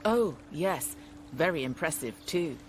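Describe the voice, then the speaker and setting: An actress playing a loyal, respectful and confident young adventurer, a former pupil, in a video game. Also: female voice, low-pitched, expressive, a little slow. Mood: warm, loyal. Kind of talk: video game cutscene acting. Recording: music under the voice.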